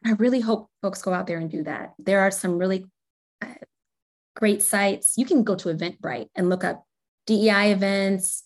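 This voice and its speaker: A woman's voice: mid-range voice, steady tone, moderate pace. Encouraging woman, podcast guest. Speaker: podcast guest, corporate strategy director. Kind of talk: casual podcast interview answers.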